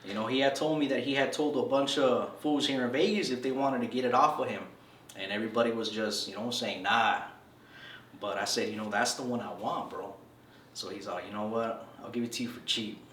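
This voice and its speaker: A man's voice: medium pitch, fairly even intonation, fairly quick, a bit bright, slight background noise. A man in his thirties from El Salvador, telling his life story in a casual, slangy interview. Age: 30s. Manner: laid-back slangy storytelling, says "bro" a lot. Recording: echoey room from a distance.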